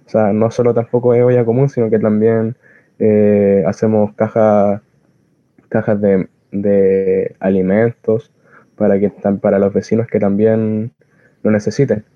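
Chilean male community volunteer, halting informal delivery, little melodic movement, dry and slightly muffled lo-fi audio. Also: on the low side, level tone, no room sound. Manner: halting, searching for words, earnest. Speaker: community soup kitchen volunteer. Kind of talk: informal spoken explanation of activism. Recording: dull lo-fi close recording.

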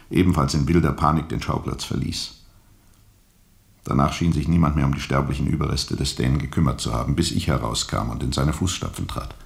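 Brooding, very deep gravelly riverboat captain. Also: male voice. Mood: grim, musing. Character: brooding world-weary riverboat captain recounting a jungle journey. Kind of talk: radio drama first-person narration.

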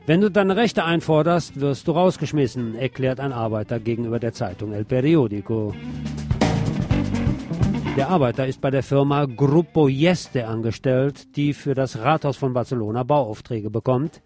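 A man's voice: medium pitch, moderate pace, dark tone. A man reads scripted radio commentary as a host, with an emphatic, indignant delivery. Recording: music bed under the voice. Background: music.

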